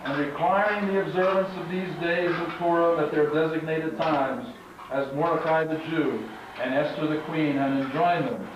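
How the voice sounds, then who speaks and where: A man reads scripture aloud to a live audience in a measured, declamatory manner, recorded from far off in an echoing, muffled, noisy room. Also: a little high. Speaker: narrator and master of ceremonies at a congregational puppet show. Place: large echoing room at a live congregational event.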